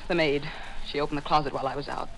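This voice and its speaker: An actress playing a reckless, passionate young woman killer who narrates her crime in an intense 1940s radio thriller style with dramatic pauses. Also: sits low, steady tone, fairly bright tone, a little hiss or hum, low-quality recording. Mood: tense, defiant. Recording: vintage 1940s radio recording with music.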